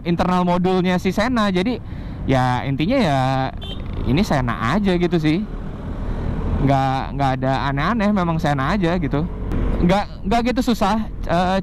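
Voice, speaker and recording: A young man with a Jakarta accent giving a casual, unscripted gear review, informal and mixing in English phrases. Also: high-pitched. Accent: Jakarta Indonesian. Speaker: vlogger reviewing motorcycle gear. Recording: clear outdoor traffic and vehicle noise.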